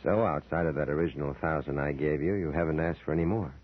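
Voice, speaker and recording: Casting: measured, suspicious crime boss, very low husky voice, vintage radio drama. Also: male voice, steady tone. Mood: coolly menacing. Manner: measured, coolly probing. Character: suspicious, quietly menacing male gangster boss. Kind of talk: old-time radio drama acting. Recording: tinny old radio recording.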